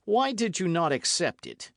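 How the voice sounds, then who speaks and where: Figure: indignant English lord, voiced by a man. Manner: raised, insistent. Pitch: above the reader's own. Sound: dry. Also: sing-song, normal speed, fairly bright tone, dry recording, heavily acted. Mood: forceful.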